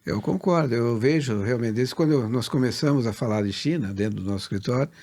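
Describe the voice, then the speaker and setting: A man's voice: medium pitch, quick pace, darkish tone. A Brazilian man co-hosting a business interview, agreeable in tone and hesitant, with many fillers. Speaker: co-host.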